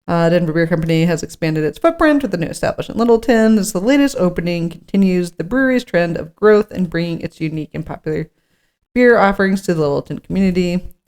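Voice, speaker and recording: Woman, slightly low pitch, podcast co-host, informal chat, partly read from notes. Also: expressive, fast talker, a little mellow.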